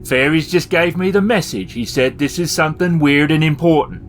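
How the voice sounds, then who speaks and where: A man's voice: on the high side, normal speed. A man acting as game master, casually narrating and explaining a tabletop role-playing game. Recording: faint music behind the voice. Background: faint background music.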